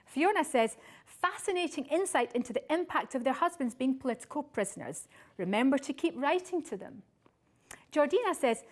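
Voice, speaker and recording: Woman presenter, high lively voice. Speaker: TV show presenter. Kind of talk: scripted presenting to camera, reading introductions and messages.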